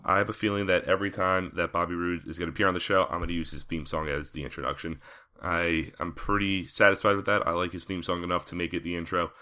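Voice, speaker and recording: Male podcast host, low and bright, slightly raspy from a cold, casual chatty recap. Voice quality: raspy, scratchy throat. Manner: conversational with frequent fillers. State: coming down with a cold.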